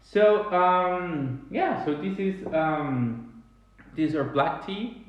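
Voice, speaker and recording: Guy in his 30s chatting about tea on a livestream, fairly high voice, super echoey room. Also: drawn-out words, lo-fi sound. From Chile. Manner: animated, gestures a lot, many restarts. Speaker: tea company host. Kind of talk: live-streamed tea tasting chat. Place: indoor room at a table. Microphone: webcam or phone at table distance.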